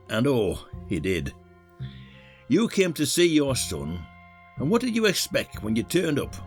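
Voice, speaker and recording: An actor playing a blunt, shrewd British police detective in an audio drama, with a colloquial, needling delivery. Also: male voice, mid-range voice. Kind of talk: radio drama acting.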